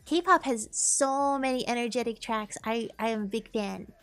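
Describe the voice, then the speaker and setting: A woman's voice: a little high, moderate pace, fairly bright tone. A young woman streaming and chatting casually with her viewers, bubbly and upbeat. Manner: bubbly, upbeat, with playful bits of singing. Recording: faint music behind.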